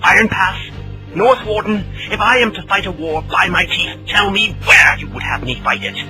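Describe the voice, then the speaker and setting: Irate male royal man actor, radio fantasy: imperious, demanding, raised pitch, loud score behind. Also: normal speed, over music, natural voice, poor audio. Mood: angry, demanding. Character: angry, imperious human prince. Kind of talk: fan radio play acting.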